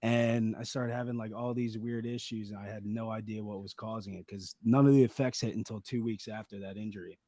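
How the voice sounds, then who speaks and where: A man with a slightly raspy voice, a podcast guest rambling through a personal story in casual chat with lots of fillers. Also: fairly low pitch, fairly even intonation, a little fast, few pauses, dark tone, dry recording. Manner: rambling, laid-back storytelling with many fillers.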